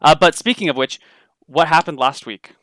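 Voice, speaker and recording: A man running a tabletop game as game master, hoarse from a cold and losing his voice. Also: on the high side, normal speed, a bit bright, no room sound.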